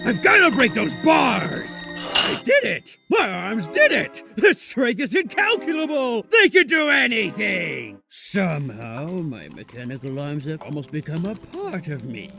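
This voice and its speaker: A man's voice: high-pitched, wildly swooping pitch, measured pace, creaky, music in the background, full character voice. A man voicing an arrogant, unhinged scientist supervillain in a melodramatic, grandiose comic book dub. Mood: gloating, amazed.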